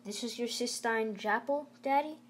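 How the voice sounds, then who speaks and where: A woman's voice: fairly high pitch, normal speed, breathy edge, voice pushed up. A woman reading aloud the part of an eager, cocky young boy who questions his father. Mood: curious.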